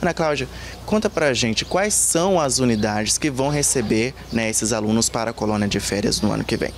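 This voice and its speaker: A man's voice: mid-range voice, a little fast. A Brazilian man in his thirties reporting from outdoors and asking interview questions. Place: outdoors in a leafy yard. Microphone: handheld reporter microphone. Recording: faint outdoor background noise.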